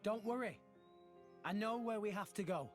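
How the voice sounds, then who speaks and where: Earnest high-voiced teenage boy hero, acted by a man. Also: moderate pace, soft background music, natural voice. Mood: determined. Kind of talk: video game cutscene acting.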